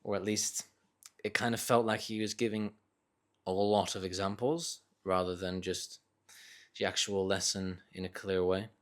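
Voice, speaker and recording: A man with a low voice speaks in a Northern English accent tinged with Dutch. He talks off the cuff, as in a casual solo vlog. His phrasing is reflective and hesitant, with frequent small corrections as he finds his words. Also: steady tone, moderate pace. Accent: Northern English with slight Dutch. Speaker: vlogger sharing reading thoughts. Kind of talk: casual unscripted monologue.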